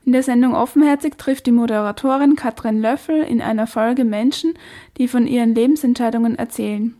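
Woman presenter with a higher, soft-toned voice. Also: steady tone, brisk. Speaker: radio presenter. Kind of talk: scripted radio narration.

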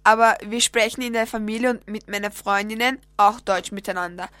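A woman's voice: medium pitch, steady tone, brisk, a bit bright, no room sound, clean studio sound. A sixteen-year-old girl from Austria whose first language is Turkish, reading a matter-of-fact prepared text for a radio show. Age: teens.

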